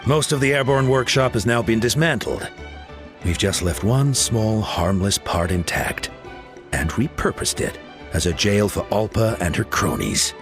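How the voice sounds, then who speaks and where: A calm male mentor explains things in a reassuring way. His voice is fairly low but lively in its rises and falls. Soft game music plays under it. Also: a little slow. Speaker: game character. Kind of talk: video game voice acting.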